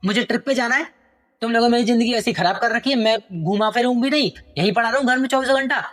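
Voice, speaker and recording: A man voicing an angry, entitled young son who shouts at his parents in outbursts with a raised voice. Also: very high voice, voice pushed up. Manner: indignant, raised voice, rapid outbursts.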